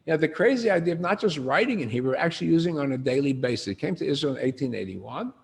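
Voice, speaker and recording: Older male lecturer, gravelly, conversational teacher who quizzes the room. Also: on the high side, fast talker. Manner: animated storyteller, asks the room questions. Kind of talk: informal lecture to a group.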